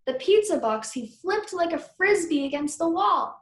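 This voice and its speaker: A woman's voice: very high voice, lively intonation, normal speed. A woman teacher reading a children's book aloud, stopping to question and explain things to her pupils. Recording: roomy indoor echo.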